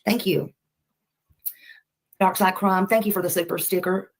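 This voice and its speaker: A woman's voice: medium pitch, steady tone, unhurried. A woman from Nashville with a Southern US accent, hosting a casual livestream in a folksy, rambling, polite way. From Nashville, United States. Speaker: livestream host.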